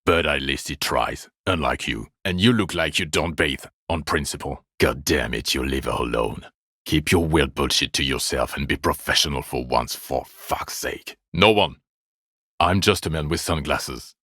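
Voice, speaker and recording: Jaded male detective, deep voice, dry close recording, deadpan sarcasm, foul-mouthed, sneering, game dialogue. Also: normal speed, dry recording, full character voice. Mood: angry, weary. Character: bitter, sarcastic, fed-up male police detective, world-weary. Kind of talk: video game voice acting.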